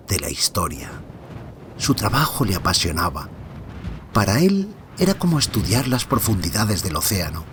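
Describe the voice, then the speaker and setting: A man acting as a podcaster telling his own mystery in an audio fiction, with a very low voice that moves expressively. He phrases things deliberately and pauses for drama, over some faint ambient noise. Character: male podcaster recounting an unsettling personal mystery. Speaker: narrator and protagonist. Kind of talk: scripted first-person audio fiction narration.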